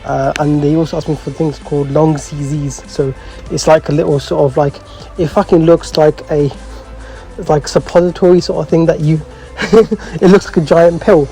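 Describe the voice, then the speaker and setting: A man with a fairly high but soft, mellow voice chats casually as a host. He talks in loose slang with some swearing and a bemused, storytelling manner. Music plays behind him. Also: steady tone, normal speed, rough recording. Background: background music.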